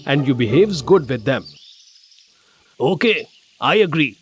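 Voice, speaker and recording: A man's voice: mid-range voice, big pitch swings, a little slow, faint music behind. A man voicing a clever young farmer in a children's fable, polite but confident and cheeky. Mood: agreeable, firm.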